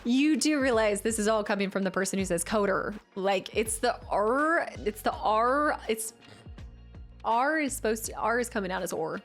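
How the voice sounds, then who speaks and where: A woman's voice: fairly high pitch, lively intonation, normal speed. A young woman streamer chatting casually with her viewers, laughing and teasing herself as she reads the chat aloud. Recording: faint music behind the voice.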